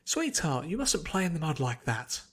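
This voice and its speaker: A man's voice: on the high side, sing-song, moderate pace, a bit bright. A man voicing a refined, prissy female pony lady with a genteel, fussy way of talking. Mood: disdainful. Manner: genteel, fussy, drawn to endearments.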